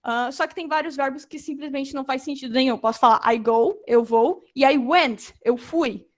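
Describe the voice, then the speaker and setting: A young adult woman giving an informal lecture, animated and acting out quoted reactions. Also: high voice, normal speed.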